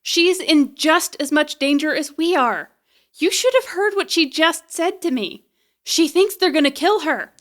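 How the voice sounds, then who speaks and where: A woman's voice: high-pitched, measured pace, fairly bright tone. A woman in an audio drama playing a stubborn, flippant, loyal repairperson with a casual, wry, defiant way of talking. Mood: indignant.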